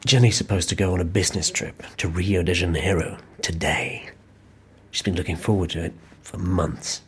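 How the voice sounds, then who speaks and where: A man's voice: low-pitched, steady tone, normal speed, a little hiss or hum, lightly acted. An actor playing a tired, hapless male office worker, sheepish and hesitant, in naturalistic audio drama dialogue. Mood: weary, sheepish.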